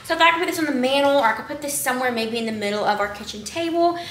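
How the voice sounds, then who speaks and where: Chatty high-voiced young woman in an echoey kitchen. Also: rapid-fire. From Texas. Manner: chatty, rambling, lots of likes and ums. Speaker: vlogger. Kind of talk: casual haul chat to camera. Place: home kitchen, indoors. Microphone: camera on the counter at a distance. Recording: echoey kitchen with clear background noise.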